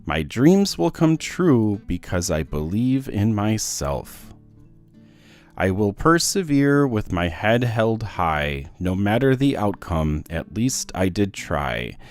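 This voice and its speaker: A man's voice: lowish voice, sing-song, slow pace, soft background music. A man voicing a hopeful, determined little boy who earnestly encourages himself in a children's storybook.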